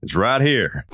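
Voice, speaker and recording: A man playing a plainspoken small-town sheriff with a folksy, deliberate manner in a western radio drama. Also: on the low side, fairly bright tone, exaggerated voice. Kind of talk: old-time western radio acting.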